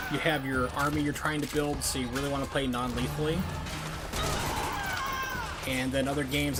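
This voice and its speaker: A man live-streaming a video game, chatting casually with viewers and explaining things as he plays. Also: medium pitch. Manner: conversational, explanatory. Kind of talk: casual gameplay commentary with chat. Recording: game audio and music behind. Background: video game sound and music.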